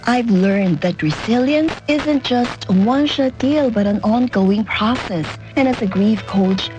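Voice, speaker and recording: A woman's voice: medium pitch, rough recording. A Filipino woman giving an earnest, scripted inspirational testimonial as a grief coach. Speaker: grief and end-of-life coach. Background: music bed.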